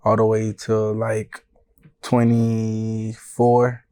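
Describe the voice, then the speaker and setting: Guest: man, 30s, from Haiti via Florida, laid-back storyteller, even monotone, dry close sound. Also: on the low side, no room sound. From born in Haiti, raised in Broward County, Florida. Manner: laid-back, often says "you know what I'm saying". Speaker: music manager and guest. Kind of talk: casual interview storytelling.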